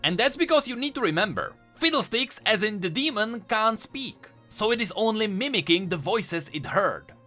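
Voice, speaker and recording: A man's voice: high voice, animated, normal speed, hardly any pauses, bright, forceful tone. A man giving enthusiastic, emphatic scripted commentary as the host of a video game lore channel. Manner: emphatic, explanatory. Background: music.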